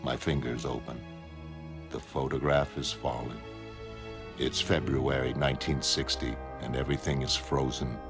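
A melancholy superhuman man with an extremely low voice. He is aloof and measured, stating everything matter-of-factly as in a comic-book narration. Music plays clearly behind him. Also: flat delivery. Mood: melancholy, detached. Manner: measured, detached, matter-of-fact. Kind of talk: scripted motion comic narration.

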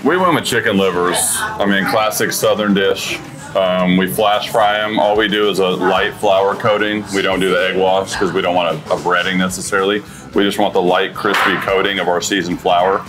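Low-voiced easygoing restaurant owner. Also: male voice, steady tone, normal speed, low-quality recording. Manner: easygoing, enthusiastic, fillers like "you know". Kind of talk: casual chat about food. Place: restaurant dining room, indoors. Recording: music playing underneath.

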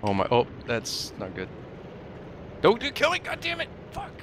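A man's voice: high voice, wildly swooping pitch, moderate pace. A man in casual gaming chat, grumbling and swearing as he plays in a squad. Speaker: gamer, squad member. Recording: clearly noisy, game gunfire and engines. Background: game gunfire and vehicles.

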